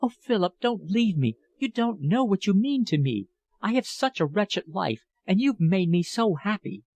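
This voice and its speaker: A man's voice: sits high, very animated, moderate pace, darkish tone, no echo, highs cut off, voice pushed up, exaggerated voice. A tearful older woman begs and reproaches her young lover, as a man reading the story puts her on. The voice climbs well above his own and wavers with pleading. It sounds dry and close.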